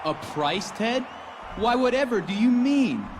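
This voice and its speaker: A man's voice: very high voice, sing-song, background noise, heavily acted. An actor playing a smug, mocking young male wrestler in a video game cutscene. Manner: mocking, drawn-out.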